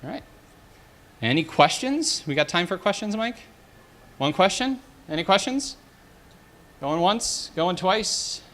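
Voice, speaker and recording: A man giving a conference talk and taking questions from the audience, direct and energetic. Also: sits high, a little slow, pauses often, slight background noise. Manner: direct, energetic, asks the room. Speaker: conference speaker.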